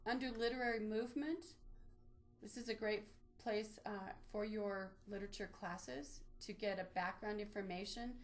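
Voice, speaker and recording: A woman's voice: mid-range voice, fairly even intonation, normal speed. A woman presenting an instructional webinar, explaining things step by step as she walks through a screen demonstration. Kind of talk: instructional screen-share walkthrough.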